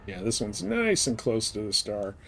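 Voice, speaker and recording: Low voiced guy streaming a game, rambling to himself, some game noise behind him. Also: big pitch swings, normal speed, gravelly, sharp tone. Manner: rambling, thinking aloud. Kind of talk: casual live game commentary.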